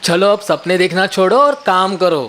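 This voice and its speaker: A man voicing a sensible, contented farmer and concerned friend who reasons gently in a children's moral story. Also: high-pitched, normal speed. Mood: reassuring. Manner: reasoning, caring, advising.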